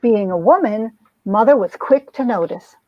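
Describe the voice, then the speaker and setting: A woman's voice: medium pitch, expressive, moderate pace, dry recording, dull audio, close to an everyday voice. A woman playing a lovestruck young society heroine who narrates her romance with earnest melodrama, in an amateur comic reading. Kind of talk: dramatic reading of a 1950s romance comic, first-person narration.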